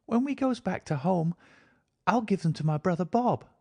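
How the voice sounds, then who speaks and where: A man reading an audiobook, voicing an innocent little frontier girl in a high, childlike rustic dialect. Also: expressive, moderate pace, vocal fry, mellow, no room sound, full character voice. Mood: earnest. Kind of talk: audiobook character voice.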